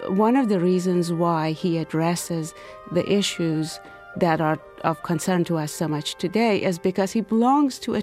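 A woman from Iran, a professor and poet, speaking as an interview guest in an expressive way, dropping to a whisper for emphasis. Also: deep voice. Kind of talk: interview conversation.

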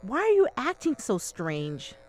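Woman acting a soothing, gently scolding mother. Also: fairly high pitch, huge pitch swings, unhurried, soft background music, voice pushed up. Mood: puzzled. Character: gentle, dismissive mother of a young girl.

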